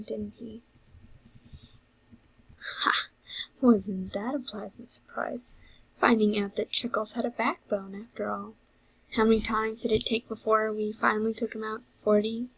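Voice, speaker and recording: A woman's voice: medium pitch, vocal fry, breathy edge, no echo, rough recording. A woman voicing a bitter, sarcastic companion who taunts and mocks, in an amateur fan audio drama. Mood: mocking. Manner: sarcastic, mocking. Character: sardonic, foul-mouthed, bitter, taunting inner companion.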